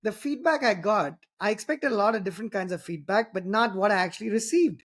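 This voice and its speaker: A man's voice: high voice, a little fast, fairly bright tone. A man from southern India with an Indian accent, a native Tamil speaker, hosting a podcast interview and talking in long, winding sentences full of "you know" and "right?". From Tamil Nadu, southern India. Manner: rambling, many restarts and "you know", "right?" tags. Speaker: podcast host. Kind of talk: casual interview conversation.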